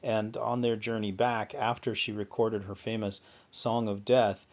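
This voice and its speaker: A man talks through a history lecture in a fairly low voice, stopping often to fill pauses and correct himself. The recording is somewhat dull and lo-fi. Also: flat delivery. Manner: halting, frequent fillers and self-corrections. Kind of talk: unscripted lecture on music history. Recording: slightly dull lo-fi sound.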